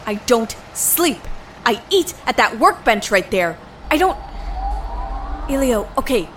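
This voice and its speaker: A woman's voice: on the high side, big pitch swings, measured pace, a little hiss or hum. A woman acting an overworked, defensive engineer in a science fiction audio drama. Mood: exasperated, angry.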